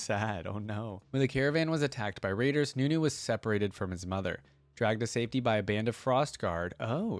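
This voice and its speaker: A man's voice: on the low side, dry recording. A man hosting a reaction video, speaking in a casual way as he comments and reads aloud.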